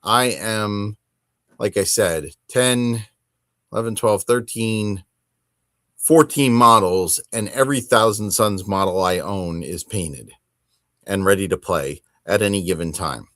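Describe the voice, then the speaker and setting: A man's voice: lowish voice, slow, fairly bright tone, no room sound. Male hobby podcaster, close dry sound. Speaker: podcast host. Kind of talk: casual solo hobby talk.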